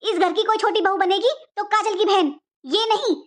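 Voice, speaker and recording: A woman's voice: very high-pitched, moderate pace. A woman voicing an older mother-in-law in a cartoon family melodrama, speaking emphatically and repeating herself. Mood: stern, rejecting. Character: distressed older mother-in-law, stern then pleading for family peace.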